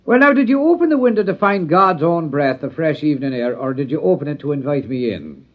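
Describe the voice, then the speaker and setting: An actor playing a charming, lilting stranger in a 1950s radio drama. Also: male voice, a little high, expressive, fairly quick, natural voice. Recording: dull old radio broadcast.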